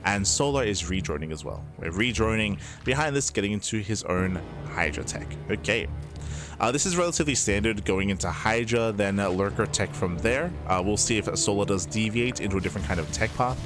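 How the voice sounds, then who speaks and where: A man from Australia with a mild Australian accent giving fast, chatty live commentary on a strategy game, with lots of fillers. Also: on the low side, lively intonation. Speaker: esports caster. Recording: music playing behind.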